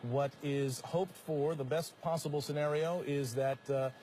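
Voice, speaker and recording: A man's voice: a little high, steady tone, unhurried, warm tone. An American man anchoring live breaking news, commenting on events as they unfold. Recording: clearly noisy live feed, vehicle drone.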